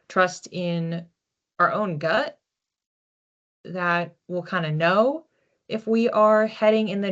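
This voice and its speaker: Low-voiced woman giving an intuitive reading. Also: lo-fi sound. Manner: conversational, reflective, animated asides. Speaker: psychic card reader, host. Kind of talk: informal spoken oracle card reading.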